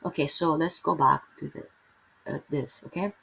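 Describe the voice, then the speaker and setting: A middle-aged woman with a Filipino accent speaks in a low, gravelly and husky voice. She teaches scripture casually, wandering off topic and tagging her points with "right?". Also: fairly even intonation, a little slow, bright, forceful tone. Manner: rambling, self-interrupting, tags many lines with "right?". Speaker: Bible teacher. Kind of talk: casual unscripted Bible teaching.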